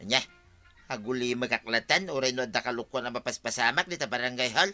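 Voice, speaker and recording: A man's voice: medium pitch, fast, sharp tone, soft background music. A man playing a grumbling village husband who grudgingly gives in, with an Ilocano accent, in an audio drama. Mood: complaining.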